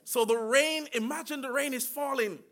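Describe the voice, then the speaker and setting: A man preaching a sermon with emphatic call-and-response and slow, drawn-out phrases. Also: very high-pitched, fairly bright tone.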